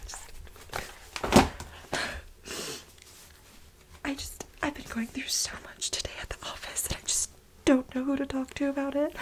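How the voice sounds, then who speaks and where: A woman acts out a frantic female office assistant with a grievance, in an ASMR role play. Her voice is fairly high and breathy, mostly dropped to a whisper. She pleads and stammers, repeating words for melodramatic emphasis. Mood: apologetic, flustered.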